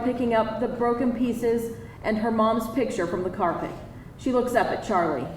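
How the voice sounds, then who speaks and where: A woman reading screenplay stage directions as scripted narration at a live table read. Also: on the high side. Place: live event room. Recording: roomy, distant, faintly noisy live reading.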